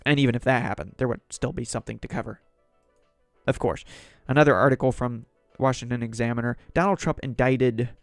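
Hoarse man reading news aloud. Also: mid-range voice, a little fast, no echo, soft background music. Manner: rambling, conversational, reads articles aloud. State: sore throat, losing voice. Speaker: podcast host. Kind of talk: solo political commentary.

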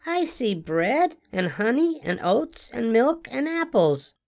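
A small young girl rabbit, eager and sleepy, piping her questions in a high, lilting storybook voice, as an older man puts her on. She bounces up and down in pitch and takes her time over each word. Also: big pitch swings, takes it slowly, vocal fry, darkish tone, exaggerated voice. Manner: high, sing-song storybook voice.

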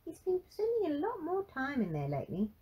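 A kindly, wistful grandmother with a gentle, lifted voice, voiced by a woman. She speaks softly and fondly, in the manner of a storybook reading. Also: high-pitched, wildly swooping pitch, brisk, lo-fi sound, voice pushed up.

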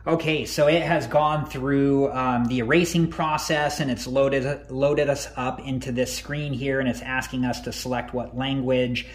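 Man calmly walking through a tutorial. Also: mid-range voice, unhurried, few pauses. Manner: relaxed, many ums and uhs. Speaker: tech tutorial host. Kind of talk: step-by-step screen walkthrough.

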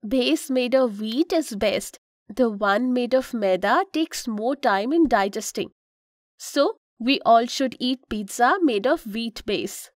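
A woman's voice: on the high side, lively intonation, slow pace, no echo, studio quality. A woman voicing a kind, instructive mother in a children's cartoon, with an Indian accent and a patient, explanatory manner. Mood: explaining. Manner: patient, explanatory, gently cautioning.

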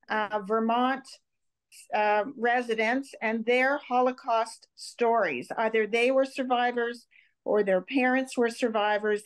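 High-voiced older woman presenting. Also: rough recording. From Montreal, Canada. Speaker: memorial co-founder, guest speaker. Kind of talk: public presentation over video call. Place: home room indoors. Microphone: video call.

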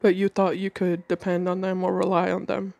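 A woman with a cold reads tarot cards at a table indoors, her tone mellow and dark. She talks calmly and measuredly, slowing down as she names each card. The sound is dry and close, with little room in it. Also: fairly low pitch, gravelly, no room sound. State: has a cold. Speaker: tarot reader. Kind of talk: tarot reading monologue.

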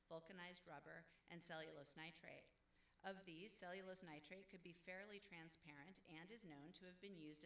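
A woman's voice: low voice, a bit bright. A woman scientist giving a conference talk with slides, conversational, with many "uh" fillers and self-corrections. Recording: echoey room, faint background noise.

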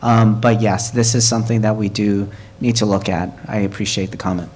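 A man's voice: lowish voice, steady tone, a little fast, darkish tone, slight background noise. Man, meeting chair, conversational, hesitant fillers. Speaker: committee chair leading a meeting. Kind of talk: hybrid meeting discussion.

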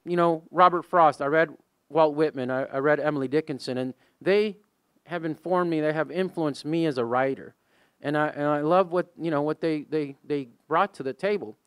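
Male, 40s, Texan accent, Spanish first language; rambling panelist, clear voice, dry close mic. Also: a little high, normal speed, no room sound. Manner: rambling, many fillers and restarts. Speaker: poet and high school English teacher on a panel. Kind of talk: casual panel talk. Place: museum meeting room with a small audience. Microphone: handheld mic.

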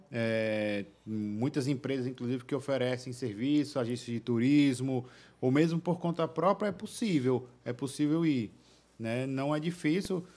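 A young man speaks as a podcast guest in a small curtained studio, close to a boom-arm microphone. His voice sits at an ordinary middle pitch, and he talks casually, stopping and restarting often with fillers. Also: a little mellow. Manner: hesitant, many fillers and restarts. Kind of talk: casual interview answers. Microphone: studio mic on boom arm.

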